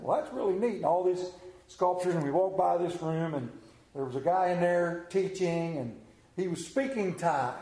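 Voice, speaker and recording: A man preaching as a pastor to a congregation, speaking in a conversational, folksy way with deliberate pauses. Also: high voice, darkish tone. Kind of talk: sermon with anecdote, scripture reading and prayer. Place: church during a service, also on video. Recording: roomy, echoing church sound.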